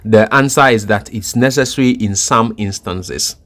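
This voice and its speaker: A man's voice: on the low side, normal speed, a bit bright. A man giving an unscripted, explanatory tech tutorial as an instructor, methodical and repeating his points for emphasis.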